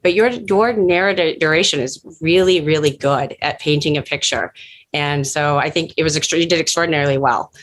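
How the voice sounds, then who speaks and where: A woman's voice: low voice, brisk, fairly bright tone, a little hiss or hum, low-quality recording. A woman speaking as a producer giving casual, thoughtful feedback with emphatic points.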